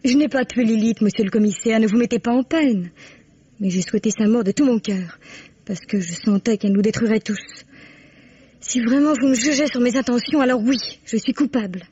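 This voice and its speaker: Proud, bitter young woman, husky. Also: a little high, a little fast, dark tone, no echo. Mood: defiant. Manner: frank, scornful, emphatic. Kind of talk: French radio mystery drama acting. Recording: dull, dry, band-limited radio drama recording.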